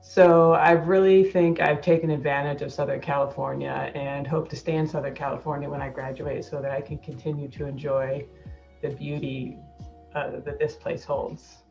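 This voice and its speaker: A woman from Ohio who grew up speaking Arabic talks about her life and work in an earnest, measured way. Her voice sits very low for a woman. Soft music plays under her. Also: level tone, gravelly, muffled recording. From Ohio, USA. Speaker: PhD candidate. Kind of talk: profile video narration.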